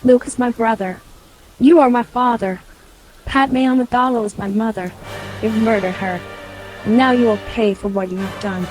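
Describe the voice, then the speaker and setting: A woman's voice: fairly high pitch, fairly even intonation, normal speed, darkish tone, soft background music, close to an everyday voice, low-quality recording. A woman playing an intense, wounded young warrior daughter in an audio drama. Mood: accusing anger. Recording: clear background noise.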